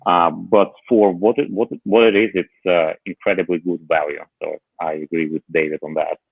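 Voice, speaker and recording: A man's voice: deep voice, steady tone, normal speed, creaky, slightly rough, no room sound. A man on a panel call chatting casually about home gadgets, hesitant, with many fillers and dry humor. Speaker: panel guest. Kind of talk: casual tech chat on a call. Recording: tinny phone-like line.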